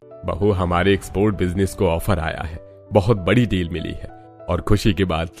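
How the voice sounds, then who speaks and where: A man's voice: sits low, fairly even intonation, normal speed, creaky, a little mellow, soft background music. A man voicing a kindly older father-in-law in a cartoon, speaking plainly and affectionately. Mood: pleased.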